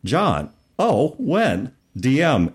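A man co-hosting a podcast in a casual, explanatory way, animated and expressive as he talks and reads aloud. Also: medium pitch, drawn-out words, breathy edge. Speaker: podcast co-host. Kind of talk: casual chat about tabletop gaming, reading passages aloud.

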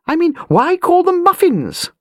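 Woman playing an imperious, lively old aristocratic lady. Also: very high-pitched, a little slow, no room sound, clean studio sound, voice pushed up, exaggerated voice. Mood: emphatic, exclaiming. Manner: clipped, dropped-subject, emphatic speech. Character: imperious, chatty elderly aristocratic English lady.

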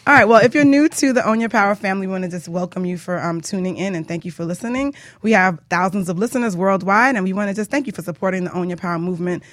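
A woman hosts a talk show in a chatty, informal style. She speaks quickly at a medium pitch, and the sound is dry and close, like casual radio chat. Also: no room sound. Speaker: host of a talk show, business coach.

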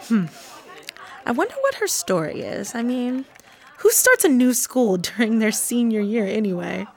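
A woman acting in a radio drama as an impatient, curious, catty high-school girl, sassy and expressive. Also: normal speed. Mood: gossipy, teasing.

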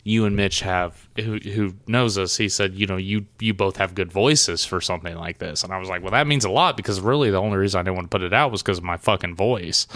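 A man with a Midwestern accent and a low, twangy voice, chatting casually as a podcast co-host, swearing, laughing and stumbling over words. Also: quick pace, hardly any pauses, a bit bright, no room sound. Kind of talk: casual chat.

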